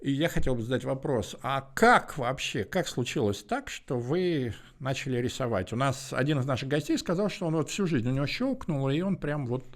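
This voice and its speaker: A man hosting an interview podcast, introducing and questioning in measured, hesitant short chunks. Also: medium pitch, animated.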